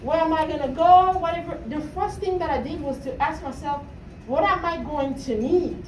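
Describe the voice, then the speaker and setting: High-voiced woman speaking in a roomy space. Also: moderate pace. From Cameroon. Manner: asks the audience questions, often adds "right?". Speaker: motivational speaker, coach. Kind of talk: motivational talk to students. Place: room at a university talk. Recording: roomy, distant mic, faint noise.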